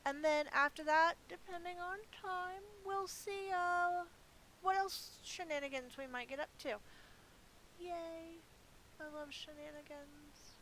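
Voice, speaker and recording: A woman's voice: very high-pitched, fairly even intonation, slow pace, a bit bright, poor audio. A woman giving casual gameplay commentary, chatty and playful as she thinks aloud. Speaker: gaming video host. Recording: hissy recording with background noise.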